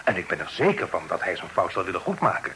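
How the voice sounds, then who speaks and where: An actor playing a courteous, forthright young gentleman in a Dutch radio play with formal old-fashioned delivery. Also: sits low, very animated, fast talker, full character voice. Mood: warning. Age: young adult. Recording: old radio play recording, background noise.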